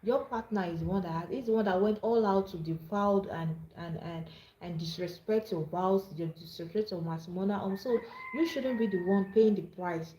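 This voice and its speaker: A woman's voice: mid-range voice, normal speed, warm tone, reverberant, slight background noise, low-quality recording. A Nigerian woman hosting a live-streamed advice talk, speaking earnestly and often repeating and restarting her words. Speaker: livestream host.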